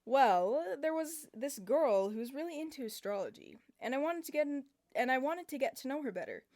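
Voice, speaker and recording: A woman's voice: high voice, very animated, fairly quick, a little mellow. A woman voicing a brash, flustered teenage boy in a fan fiction reading. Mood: explaining. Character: brash teen boy, would-be astronaut.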